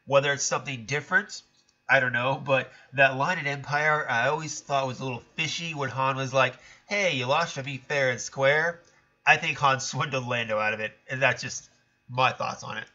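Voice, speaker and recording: A man's voice: mid-range voice, moderate pace, bright, forceful tone, dull audio. A man hosting a casual solo commentary show, talking in a chatty, rambling way with a stuffed-up, nasal voice. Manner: chatty, rambling, self-correcting. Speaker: podcast host.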